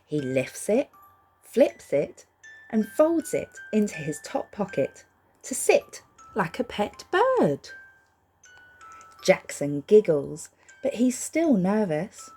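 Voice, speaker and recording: A woman reads a picture book aloud expressively, gently reassuring young listeners and putting questions to them. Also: medium pitch, huge pitch swings, slow, long pauses, husky, faint music behind. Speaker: storybook reader for young children.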